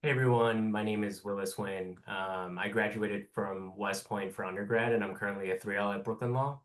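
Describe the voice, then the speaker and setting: Man, 30s, law student panelist; low voice, direct and composed. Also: steady tone, normal speed, low-quality recording. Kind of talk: panel self-introduction.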